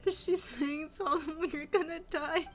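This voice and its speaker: A soft-spoken, reserved woman in her twenties giving casual reaction commentary while she plays a game. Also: high voice, steady tone, normal speed, soft background music. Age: 20s. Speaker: video game player.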